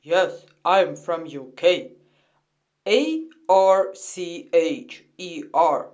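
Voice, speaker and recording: An extremely slow speaker gives a name in a scripted lesson dialogue. They then spell it out one letter at a time, with long pauses between letters. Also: medium pitch, expressive, darkish tone, lo-fi sound. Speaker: quiz participant spelling a name.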